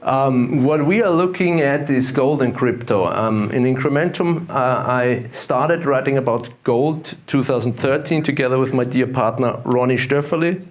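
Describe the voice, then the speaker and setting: A man from Austria with an Austrian German accent giving a talk at a conference, halting and full of fillers and restarts. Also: mid-range voice. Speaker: conference speaker. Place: conference room with audience.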